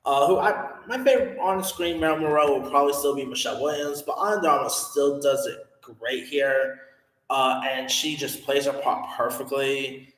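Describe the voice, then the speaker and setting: A man's voice: mid-range voice, moderate pace, fairly bright tone. A man giving a casual, rambling film review, full of fillers and restarts. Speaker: movie reviewer. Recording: echoey room with long reverb.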